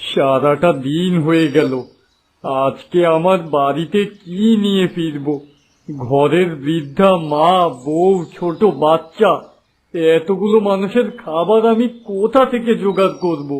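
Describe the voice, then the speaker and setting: Higher-voiced kindly cartoon family man played by a man. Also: a little slow, a little mellow, natural voice. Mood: weary, worried. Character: poor, worried, kindhearted family man. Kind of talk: cartoon character dialogue. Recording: music behind the voice.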